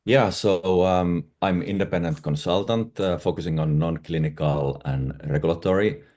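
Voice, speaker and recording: A man's voice: low voice, moderate pace, a little mellow. A middle-aged man from Finland with a Finnish accent, answering conversationally as an interview guest. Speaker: guest, independent pharmaceutical consultant.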